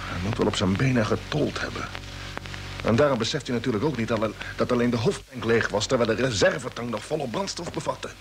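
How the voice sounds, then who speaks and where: An actor playing an earnest, troubled space commander, pressing urgently and emphatically, in old Dutch radio drama style. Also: male voice, medium pitch, quick pace, gravelly, soft background music, natural voice. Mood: grave. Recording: noisy vintage radio play recording.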